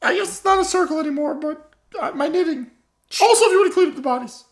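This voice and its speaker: A man voicing a fussy, exasperated old grandmother in a cracking falsetto for an improvised comedy radio drama. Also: lively intonation, fast talker. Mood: exasperated, dry.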